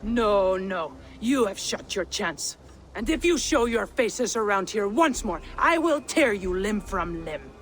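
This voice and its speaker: An actress playing a sly, insolent mercenary chief in a video game, with a taunting, menacing drawl. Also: female voice, medium pitch, animated, measured pace, natural voice. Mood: scornful, menacing. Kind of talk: video game cutscene acting.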